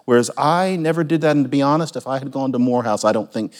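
A man's voice: medium pitch, quick pace. A man from Philadelphia in a casual conversation, talking in a thoughtful, reflective and self-deprecating way. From Philadelphia, USA.

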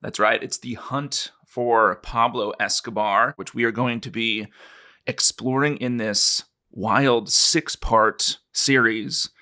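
A man co-hosting a podcast, talking in a relaxed way with lots of hedging fillers. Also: mid-range voice, slow. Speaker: podcast co-host. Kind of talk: conversational podcast chat.

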